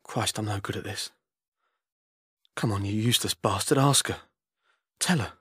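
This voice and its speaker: A man's voice: fairly low pitch, fairly bright tone, breathy edge, no room sound. Man as an awkward homesick soldier, dry close voice. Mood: awkward, wry. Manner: halting, self-deprecating, plain-spoken. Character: homesick soldier husband awkwardly recording a message to his wife. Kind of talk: naturalistic dramatic monologue.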